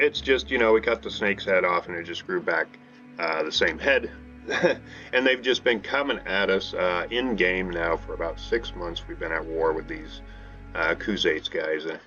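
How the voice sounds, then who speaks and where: Male gaming host, lowish forceful voice, rambling casual commentary full of fillers, dull lo-fi audio with faint music. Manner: rambling, many fillers, addresses viewers as guys. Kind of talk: casual let's-play commentary.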